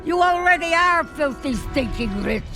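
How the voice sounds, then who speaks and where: A woman's voice: high-pitched, expressive, normal speed. A woman voicing a scheming, spiteful sorceress duck who speaks broken English without articles, over game music. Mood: snappish.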